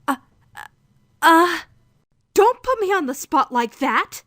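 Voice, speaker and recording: A woman's voice: extremely high pitch, big pitch swings, takes it slowly, fairly bright tone, no room sound, clean studio sound, full character voice. A woman playing an anxious young female trainee who stammers and protests in a visual novel fan dub. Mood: flustered, defensive. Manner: stammering, hesitant, indignant.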